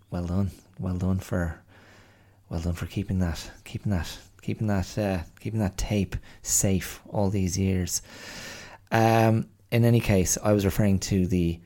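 A man with a middle-class Dublin accent talks through his thoughts in a wry, musing monologue. His voice sits very low with a gravelly fry, dark and mellow in tone. He takes his time, unhurried and reflective. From Ireland. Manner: musing, wry, thinks aloud. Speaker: podcast host.

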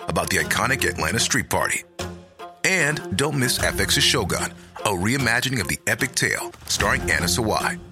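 A man reading an upbeat promotional voice-over as a commercial announcer. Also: fairly low pitch. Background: music.

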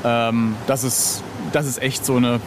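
A man's voice: mid-range voice, level tone, unhurried, noisy background. A middle-aged man chatting casually as an interview guest, hesitant and self-ironic, often searching for his words. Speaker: interview guest, illustrator of record covers.

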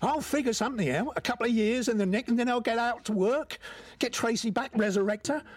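An actor in an audio drama playing a distraught, stubborn elderly male thief brought back to life, halting and pleading. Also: very high-pitched. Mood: defiant. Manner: halting, disbelieving, pleading, colloquial.

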